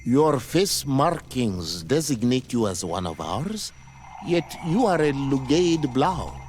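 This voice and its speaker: Video game spiritual leader: slow, solemn, formal and dignified, melodic delivery, music underneath. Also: male voice, mid-range voice, sing-song, a little mellow. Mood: gracious, measured. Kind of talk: scripted video game acting.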